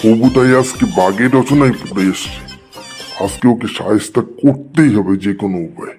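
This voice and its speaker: Man acting a mellow-voiced exasperated cartoon schoolteacher. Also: on the low side, normal speed, faint music behind, close to an everyday voice. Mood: scheming, annoyed. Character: exasperated male schoolteacher out to catch pupils. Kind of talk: comedy cartoon voice-over.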